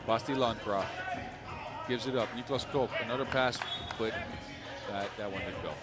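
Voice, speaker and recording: A man's voice: fairly low pitch, moderate pace, over loud music. A man giving conversational play-by-play commentary on a live basketball game, lifting his voice on big plays. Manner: conversational, excitable on big shots.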